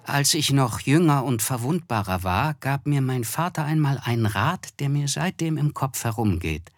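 An actor playing a reflective, principled man who narrates a literary radio drama in a measured, thoughtful way. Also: mid-range voice, animated, lightly acted. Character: Nick Carraway, reflective, reserved, principled male narrator.

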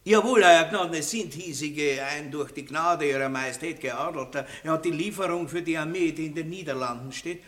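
A man performing a boorish country baron in a 1960s radio play, vain and leering. His voice sits a little high for a man, and he talks in a self-satisfied, pompous way that stumbles over fillers. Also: brisk, hardly any pauses, a bit bright, natural voice. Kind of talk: 1960s radio drama acting.

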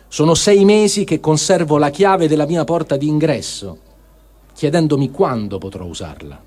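A man giving a solemn dramatic reading of written testimony, in a measured, repeating cadence with deliberate pauses. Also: on the high side, dark tone.

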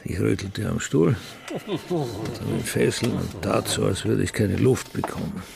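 An actor playing a bitter, contemptuous old businessman father telling his story in a scornful, deliberate radio-drama monologue. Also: on the low side, animated, crowd noise, heavily acted. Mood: grim, tense. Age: older. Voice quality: gravelly, creaky.

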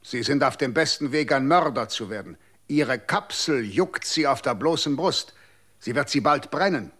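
A man's voice: medium pitch, animated, normal speed, a bit bright. An actor playing a stern, formal male official who issues warnings in a radio drama.

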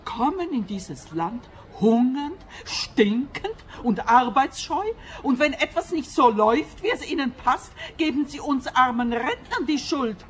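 An actress playing a spiteful, xenophobic old pensioner who scolds indignantly in a radio play. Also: female voice, high-pitched.

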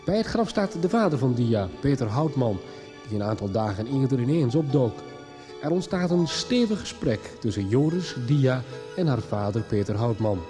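Music plays clearly under a man narrating a radio serial recap. His voice sits at a middle pitch, and he reads scripted storytelling lines as the programme's narrator. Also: big pitch swings, moderate pace, dark tone.

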